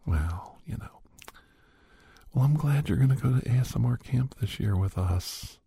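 A middle-aged man hosting a whispered ASMR roleplay, chatting softly and gently teasing the listener as a caregiver. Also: mid-range voice, expressive, normal speed, creaky, husky, dry recording, clean studio sound. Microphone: close microphone.

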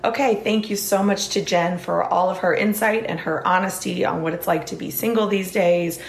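A woman with a low voice hosts a podcast in a chatty, casual way, peppering her talk with "like" and small restarts. Her voice is a little hoarse. The recording sounds echoey, with faint noise underneath. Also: normal speed. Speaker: podcast host. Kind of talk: casual interview chat and solo outro.

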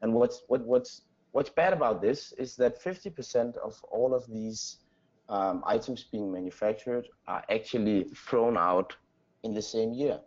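Halting, mellow Danish man, slightly dull recording. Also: lowish voice. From Denmark. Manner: halting, repeats words while searching for phrasing. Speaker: interview guest, company founder. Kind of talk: casual interview answers.

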